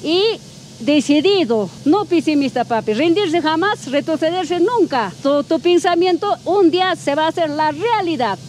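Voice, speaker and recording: A woman's voice: extremely high pitch, expressive, moderate pace, a little mellow, a little hiss or hum. A woman shopkeeper giving affectionate, motivational advice and heaping endearments on a visitor. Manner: affectionate, motherly, full of endearments. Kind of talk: casual motivational chat. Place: outdoors at a shop in a mountain mining town.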